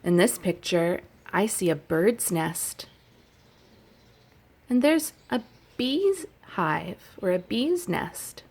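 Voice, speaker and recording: A woman who teaches young children, chatting and reading aloud gently in simple, unhurried phrases with little explanations. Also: mid-range voice, very animated, slightly rough. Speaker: childcare educator leading story and song time for toddlers.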